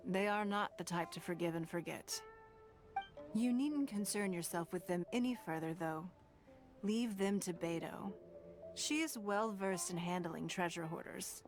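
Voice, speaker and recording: A woman's voice: on the low side, a little slow. An actress playing a poised, powerful noblewoman in a video game, formal and politely aloof. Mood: composed. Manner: composed, formal. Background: music.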